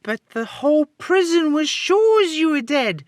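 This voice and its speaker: A man's voice: very high voice, very animated, a little slow, dry recording, clean studio sound, voice pushed up, heavily acted. A man voicing a nervous, gullible henchman in an old radio serial style, stammering and jittery. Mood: nervous, alarmed.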